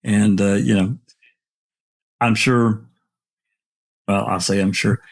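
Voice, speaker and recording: A man's voice: on the low side, steady tone, slow pace, vocal fry, slightly rough. A man hosting a casual discussion in a folksy, hesitant way, repeating himself for emphasis.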